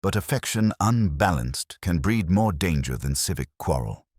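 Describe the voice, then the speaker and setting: A man's voice: low voice, expressive, normal speed, a little mellow, dry recording, clean studio sound, pitched above the performer's own voice. A man voicing a brittle, restrained widow in an audiobook mystery, speaking formally and guardedly.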